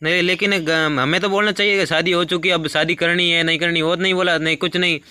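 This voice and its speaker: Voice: mid-range voice, fairly even intonation, a little fast, bright, forceful tone, no echo, studio quality. A person asking questions hesitantly in a phone conversation. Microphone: phone call.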